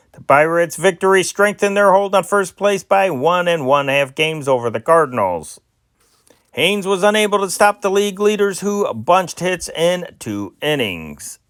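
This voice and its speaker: A man with a somewhat high voice reads old newspaper sports stories in his own amateur style. His pitch swings up at the start of phrases and drops low at their ends, with the odd slip and restart. The recording is close and dry. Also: a bit bright, smooth, no room sound. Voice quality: amateur home-made reading with small slips. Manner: sing-song reading with big rises and drops in pitch. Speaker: newspaper reader, channel host. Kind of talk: reading old sports news aloud.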